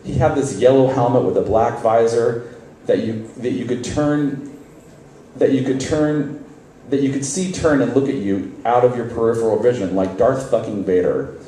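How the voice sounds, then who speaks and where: A very echoey, distant stage recording of a man reading a personal story to a live event. His voice is low and mellow, and his delivery is wry and conversational. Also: moderate pace. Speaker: reader at a literary event. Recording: very echoey hall, distant mic, faint noise.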